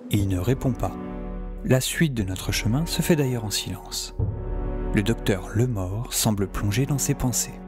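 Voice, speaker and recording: An actor playing a wry scientist who narrates his own adventure in the first person, in a measured, confiding way. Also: lowish voice, animated, music in the background. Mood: wry, observant.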